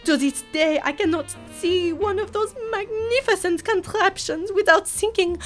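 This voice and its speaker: A woman's voice: very high voice, moderate pace. A young woman playing a grandly tragic, lovesick French secretary in a comic radio serial, telling her tale in florid, theatrical style. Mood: mournful. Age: young adult. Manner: florid, theatrical storytelling with emotional outbursts. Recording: music behind the voice.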